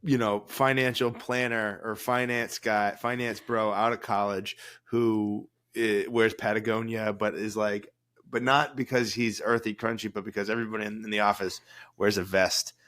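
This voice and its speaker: A man with a cold, co-hosting a podcast in a low, mellow voice, joking and rambling with restarts. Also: fairly even intonation, moderate pace. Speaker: podcast co-host, former cruise director. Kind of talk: casual podcast chat.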